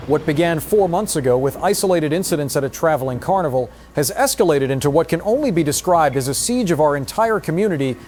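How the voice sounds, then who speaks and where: A man playing a solemn emergency broadcaster, reading a formal, measured bulletin in a radio drama. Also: on the high side, a little mellow, a little hiss or hum, close to an everyday voice. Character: solemn male emergency broadcaster addressing besieged townsfolk. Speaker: radio news announcer. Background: music.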